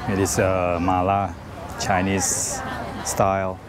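A man with a Thai accent giving an unscripted food tour commentary, naming things briefly item by item with pauses between them. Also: low-pitched, completely flat delivery, over a noisy crowd. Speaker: food market vlogger. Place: outdoor evening food market. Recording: noisy outdoor street market with traffic.

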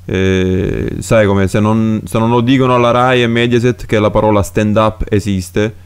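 A man's voice: lowish voice, steady tone, moderate pace, smooth. A man hosting a podcast, chatting casually and asking his guest questions. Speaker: podcast host.

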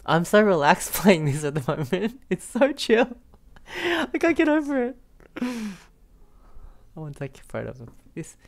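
A man's voice: high-pitched, measured pace, creaky, long pauses. Young male streamer, laid-back casual reaction commentary, close mic in a small room. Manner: relaxed, giggly, repeats himself. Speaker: game streamer.

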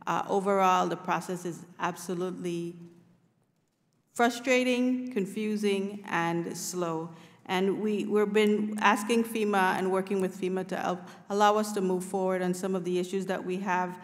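A middle-aged woman giving formal testimony as a witness, measured and earnest, phrasing things carefully. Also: on the low side. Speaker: witness at a congressional hearing. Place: large indoor committee hearing room. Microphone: table microphone. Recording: echoey hearing room, long reverb.

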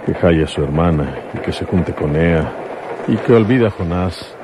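Man acting a deep-voiced protective fellow, noisy recording. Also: normal speed, close to an everyday voice. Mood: calm, kindly. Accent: Mexican. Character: protective man disguised as an old father. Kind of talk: Mexican radio serial acting. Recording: noisy old radio drama recording.

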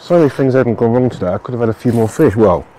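A man's voice: medium pitch, animated, very fast. A man in his thirties gives casual, self-deprecating running commentary to the camera while fishing at a lakeside. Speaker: match angler and channel host. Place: outdoors at a lakeside fishery, breezy countryside. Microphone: action camera mounted beside him. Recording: loud wind and outdoor noise on a camera mic.

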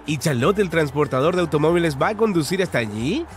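Male preschool cartoon narrator, high and very lively, encouraging and full of questions, over a music bed. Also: fast. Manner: encouraging, exclamatory, asks questions.

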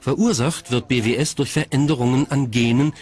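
A man's voice: medium pitch, a little fast, darkish tone, slight background noise. A man reading scripted documentary narration in the role of narrator.

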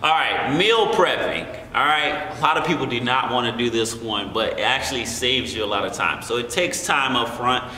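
A man's voice: mid-range voice, expressive, moderate pace, few pauses, pushed and bright, slight background noise. A young American man giving informal, encouraging fitness instruction to camera in a gym, often checking in with the listener. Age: young adult. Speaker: fitness coach and video host. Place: large indoor gym. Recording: echoey, reverberant room with music behind. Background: music.

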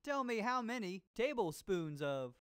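A man playing an upbeat, hammy disco cooking show host in a cartoon game, with a swinging, drawn-out delivery and seventies slang. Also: high voice, sing-song. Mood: groovy, upbeat.

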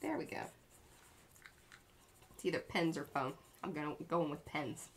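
A woman's voice: deep voice, sing-song, unhurried, slight background noise. A woman in her thirties, co-hosting an art livestream from a small home studio, chatting bubbly and playfully as she greets viewers by name.

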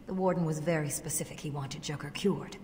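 A woman's voice: low voice, steady tone, fairly quick, a little mellow, lightly acted. Woman playing an insistent doctor in echoing hall. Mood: anxious, insistent. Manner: insistent, articulate. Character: determined, indignant female doctor. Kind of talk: video game acting. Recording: reverberant hall, clear background noise.

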